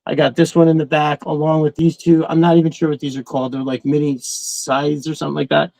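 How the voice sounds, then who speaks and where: A man's voice: on the high side, flat delivery, quick pace, vocal fry, dark tone, no room sound, low-quality recording. A man hosting a casual livestream, rambling in a slangy, enthusiastic way. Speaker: host of a toy collecting livestream. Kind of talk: casual chat.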